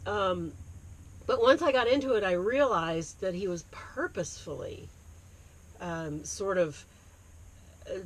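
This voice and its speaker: A woman's voice: medium pitch, unhurried, pauses often, low-quality recording. A woman from Appalachia chatting casually as a co-host about books, in an easygoing, agreeable manner. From Appalachian United States. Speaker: library podcast co-host. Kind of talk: casual book chat. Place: parked library bookmobile. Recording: clear background noise inside vehicle.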